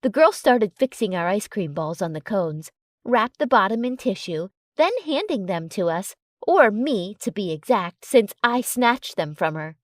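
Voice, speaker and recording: A woman's voice: medium pitch, sing-song, a little slow, no echo, clean studio sound. A woman reading scripted first-person fiction as an audiobook narrator.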